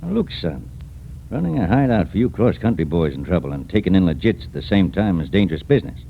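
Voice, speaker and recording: A man playing a sly, gruff crook who runs a hideout, in a 1940s radio crime drama. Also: sits low, sing-song, quick pace, full character voice. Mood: gruff, suspicious. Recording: narrow, noisy 1940s radio recording.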